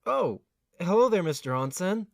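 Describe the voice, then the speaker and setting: A man's voice: high voice, sing-song, moderate pace, gravelly, no room sound, studio quality, full character voice. A man playing an earnest, put-upon young tenant in an amateur audio drama, with a hesitant manner. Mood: awkward. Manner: hesitant, stammering when flustered.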